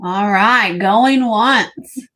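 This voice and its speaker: Slow-spoken woman calling out auction bids. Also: mid-range voice, fairly bright tone. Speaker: co-host of auction sale. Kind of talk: live online auction sale.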